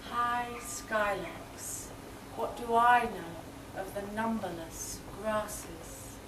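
Middle aged woman reading poems slowly, echoey, miked from way off. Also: mid-range voice. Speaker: poetry reader. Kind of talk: live poetry reading, short measured phrases. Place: marquee with a small seated audience. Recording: distant, echoey, very noisy live recording.

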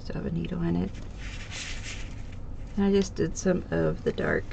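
A woman's voice: fairly low pitch, big pitch swings, normal speed, gravelly, mellow, dull audio, slight background noise. A woman with a stuffy, slightly nasal voice, rambling casually through her crafting projects at home, with many ums and restarts. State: congested, a bit nasal. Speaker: craft channel host. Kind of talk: casual chat showing stitching projects. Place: home craft table, indoors.

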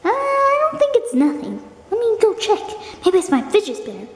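A woman voicing a whiny, indignant young sock puppet in a homemade puppet show, with dramatic, drawn-out complaints. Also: very high voice, wildly swooping pitch, full character voice. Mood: suspicious, straining. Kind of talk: improvised amateur puppet show dialogue. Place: indoors, room at home with wooden floor. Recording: echoey hard-floored room, faint hiss.

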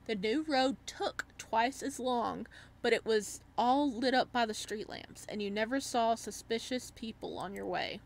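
A woman with a fairly high voice plays through a game on stream. She comments casually and reads on-screen text aloud in an excitable, reactive way. There is faint noise behind her. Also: a little slow, vocal fry. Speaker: gaming streamer.

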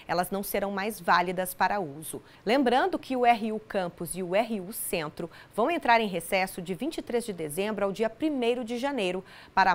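A woman, presenting scripted news in a formal, clearly articulated way from a TV studio on a lapel mic. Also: mid-range voice.

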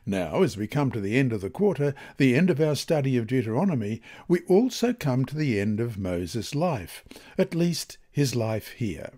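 A man reads a scripted Bible lesson and prayer in a measured, reverent manner with deliberate phrasing. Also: mid-range voice, sing-song, mellow. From Australia. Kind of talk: scripted devotional narration and prayer.

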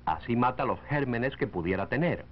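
A male narrator from a 1940s educational film, heard through a dull, lo-fi old soundtrack. His pitch is mid-range and moves in lively, animated swings. He reads scripted lines in a measured, instructive manner.

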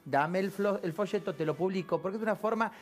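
A man's voice: sits high, fast, soft background music. A middle-aged man with an Argentine accent, talking on a TV studio panel, indignant and emphatic, swearing now and then. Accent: Argentine Spanish. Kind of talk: heated TV panel talk. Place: TV studio set.

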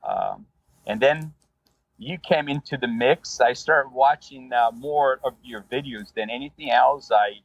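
A Brazilian man, Portuguese his first language, speaks English with a husky voice. He rambles casually with lots of fillers. The sound is dry and a little dull. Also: medium pitch, a little slow, no room sound. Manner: relaxed, rambling, many fillers. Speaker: rideshare driver guest. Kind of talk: casual interview storytelling.

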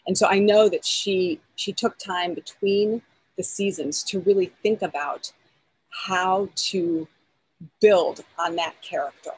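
A woman's voice: fairly low pitch, slow pace, husky, low-quality recording. A woman in her forties, a film editor and guest, talking eagerly over a video call from outdoors in her backyard, with many restarts and fillers. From United States.

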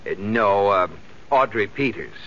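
An actor playing a good-natured but exasperated husband in a 1940s radio sitcom, flustered and protesting. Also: male voice, lowish voice, lively intonation, measured pace, fairly bright tone, exaggerated voice. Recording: muffled, noisy old radio broadcast recording.

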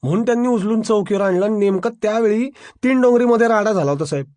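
A man's voice: high-pitched, normal speed, dry recording, close to an everyday voice. A man voicing a frightened, flustered police constable in a crime audio drama, stammering, whiny and repeating himself as he makes excuses. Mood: defensive, whining.

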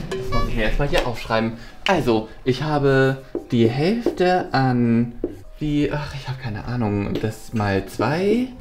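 A woman playing a greedy, scheming male teacher who mutters plans to himself and turns into a pushy salesman. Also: very deep voice. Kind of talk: sketch comedy acting. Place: bright office room indoors. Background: background music.

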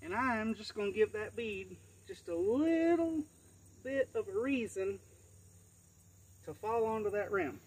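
A man's voice: high voice, expressive, a little slow, breathy edge. An American man in his thirties or forties giving a casual, folksy hands-on tutorial, talking while he works. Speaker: do-it-yourself demonstrator. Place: outdoors in a cluttered rural yard. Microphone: camera a few feet away. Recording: outdoor camera audio, faint insect noise.

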